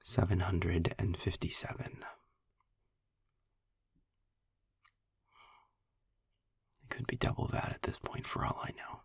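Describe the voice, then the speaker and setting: A man playing a sarcastic, weary imprisoned demon in an intimate audio roleplay, with a husky, gravelly, breathy voice and a dry, articulate, deliberate delivery. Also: sits low, flat delivery, no echo, in a whisper, heavily acted. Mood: weary, resigned. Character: sarcastic, weary male demon, long imprisoned, dryly eloquent. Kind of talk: audio roleplay, intimate slow monologue to the listener.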